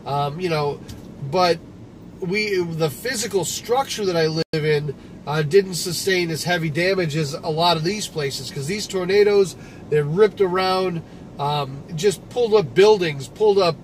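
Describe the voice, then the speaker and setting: A man's voice: fairly high pitch, measured pace. Rambling man talking while driving. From Michigan, USA. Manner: rambling, conversational, with frequent restarts and fillers. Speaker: livestream host. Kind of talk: casual live-stream talk while driving. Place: inside a car, driving. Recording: noisy car recording with road noise.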